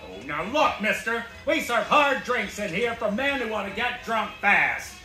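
A man playing a gruff, impatient small-town tough guy in a stage radio play, blunt and brusque. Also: high-pitched, very animated, unhurried, pushed and bright, soft background music, exaggerated voice. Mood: irritated. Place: small theatre stage before an audience. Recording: echoey theatre stage, distant camera.

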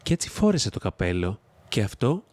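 A man's voice: fairly low pitch, big pitch swings, darkish tone. A man narrating a children's fairy tale as a scripted storyteller. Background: music.

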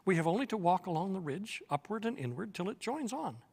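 A man's voice: high voice, very animated, fairly quick, darkish tone, breathy edge, pitched above the performer's own voice, exaggerated voice. Kindly faun explaining things, older man reading him, echoey room. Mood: eager. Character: gentle kindly male faun, wise and warm.

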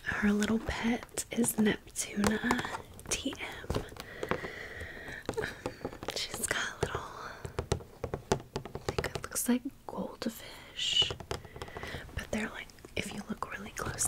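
A woman whispers in a breathy, unvoiced ASMR style at an unhurried pace. She chats casually and slangily, gushing over details and repeating short phrases for effect. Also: mid-range voice, flat delivery. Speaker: ASMR creator presenting a doll haul.

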